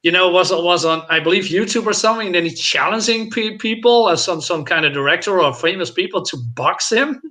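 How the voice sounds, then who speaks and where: Male panelist, fairly high and forceful tone, casual halting delivery. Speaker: film discussion panelist. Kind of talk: casual chat.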